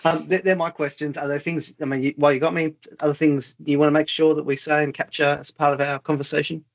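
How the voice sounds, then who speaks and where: A man's voice: a little high, level tone, fast, gravelly, highs cut off. A man hosting a casual interview, chatting in an informal, agreeable way. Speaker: interviewer, host.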